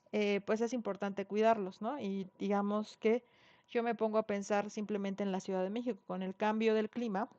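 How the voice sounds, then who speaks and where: A woman's voice: mid-range voice, a little mellow, dry recording. A middle-aged woman from Mexico City with a Mexican Spanish accent, giving an online university lecture in a conversational way, full of fillers and tag questions. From Mexico City (Xochimilco). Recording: dull dry online class audio.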